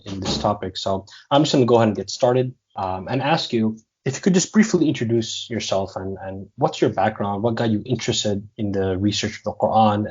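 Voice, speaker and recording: A man hosts a podcast with a somewhat low voice, polite and earnest as he introduces the show and his guest. The recording is dull and dry, with no room sound. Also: a little mellow, husky, no room sound. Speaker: podcast host. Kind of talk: scripted intro then interview questions.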